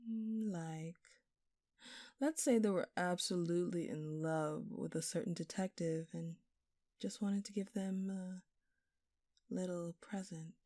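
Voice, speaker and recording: Woman playing a smug, flirty thief, low mellow voice. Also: steady tone, takes it slowly, dry recording, studio quality. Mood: sly, mock-innocent. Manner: teasing, sly, mock-innocent. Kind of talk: audio roleplay acting addressed to the listener.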